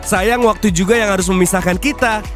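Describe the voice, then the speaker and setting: A man's voice: high-pitched, brisk. A man narrating a travel show in a scripted, upbeat voice-over, addressing viewers directly. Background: music.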